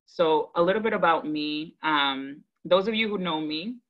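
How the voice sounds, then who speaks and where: A woman in her thirties, from the Dominican Republic and raised in Boston, telling her personal story to an online audience in an earnest, measured, thoughtful way. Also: low-pitched. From Dominican Republic, raised in Boston from age five. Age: 30s. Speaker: guest speaker, education advocate. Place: indoors on a video call. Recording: dull, lo-fi video call audio.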